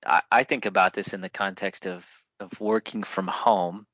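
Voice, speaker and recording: A man's voice: low voice, steady tone, normal speed, a bit bright, no echo, highs cut off. A man hosting a podcast interview, speaking in a thoughtful, conversational way. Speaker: podcast host.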